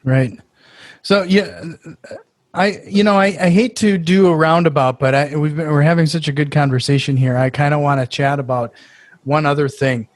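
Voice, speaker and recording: A man hosting a podcast in casual chat, talking in quick restarts with filler words and eager reactions. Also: on the high side, fairly even intonation, no echo. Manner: friendly, stumbling restarts and "uh", enthusiastic reactions. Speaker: podcast host.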